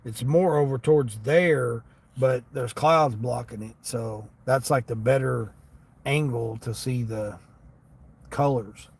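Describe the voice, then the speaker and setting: A man's voice: medium pitch, slow pace, husky. A man with a slightly Southern American accent chats casually and ramblingly to livestream viewers in a folksy way. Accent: American with a Southern tinge. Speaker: livestream host, gig delivery driver. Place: inside a parked vehicle. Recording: steady vehicle and ambient noise.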